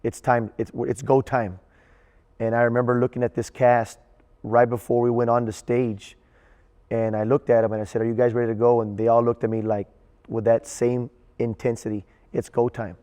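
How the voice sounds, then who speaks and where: A middle-aged man, a director and coach, giving reflective interview answers in halting, thoughtful phrases. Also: medium pitch, little pitch movement, dark tone. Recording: echoey, long room reverb.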